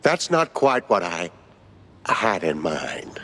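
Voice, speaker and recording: A man's voice: low voice, sing-song, takes it slowly, fairly bright tone, full character voice. Theatrical servile lab henchman, echoing. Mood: rambling. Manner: theatrical, rambling, obsequious. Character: eccentric, servile male lab caretaker, gothic henchman. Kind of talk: cartoonish game cutscene acting. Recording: long echoing reverb.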